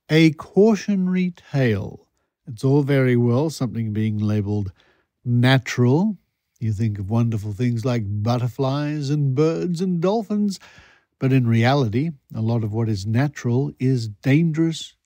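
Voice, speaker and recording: Male podcast host, wry and playful, mellow tone, dry close sound. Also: mid-range voice, no echo. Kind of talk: scripted, conversational podcast introduction.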